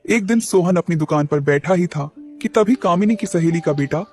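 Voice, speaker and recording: A male narrator telling a cartoon moral story. His voice sits fairly high for a man, and he moves the plot along steadily. Gentle music plays under him. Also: moderate pace. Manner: steady, explanatory. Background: faint music.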